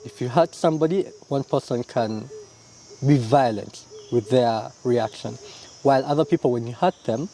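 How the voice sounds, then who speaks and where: A young man from Uganda, answering interview questions in a relaxed, reflective way, often tagging his lines with "yeah?" and "you know?". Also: mid-range voice, moderate pace, slight background noise. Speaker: interview guest, illustrator.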